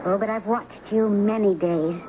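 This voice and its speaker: An actress playing a mysterious, gentle young woman of the sea, earnest and naive, in a 1970s radio drama. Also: mid-range voice, fairly even intonation, unhurried, close to an everyday voice. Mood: gentle, guarded. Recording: noisy, dull 1970s radio broadcast recording.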